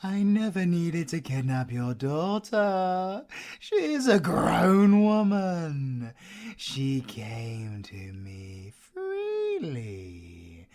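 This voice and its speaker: Slow, smug British werewolf villain. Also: male voice, a little high, wildly swooping pitch, a little mellow, exaggerated voice. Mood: gloating. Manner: theatrical, mocking, drawling with long pauses. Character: flamboyant, sadistic, smug male werewolf villain. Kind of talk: audio roleplay acting.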